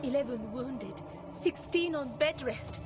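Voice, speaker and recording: A woman's voice: high-pitched, expressive, unhurried, over loud music. A woman acting a grave, weary and urgent elf scout leader in a video game cutscene. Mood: grave, worried.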